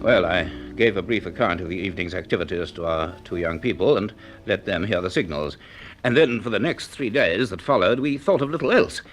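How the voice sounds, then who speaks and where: A man's voice: low voice, animated, fairly quick, soft background music. A man playing an earnest, educated scientist and narrator in a radio drama. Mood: measured, reflective.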